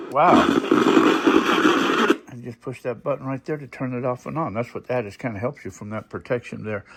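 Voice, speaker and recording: A man giving a casual, unscripted product demonstration, thinking aloud in a folksy way as he looks things over. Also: mid-range voice. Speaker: product reviewer showing gadget on video. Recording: faint background noise.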